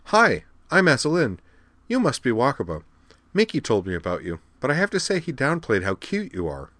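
Guy putting on a perky little alien girl, friendly and forward, higher voice, story reading. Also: huge pitch swings, brisk, bright, forceful tone, no echo, full character voice. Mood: cheerful.

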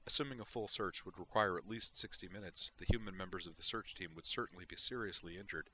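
A man voicing a calm woman officer who speaks in a measured, analytical way. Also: sits low, slight background noise, low-quality recording.

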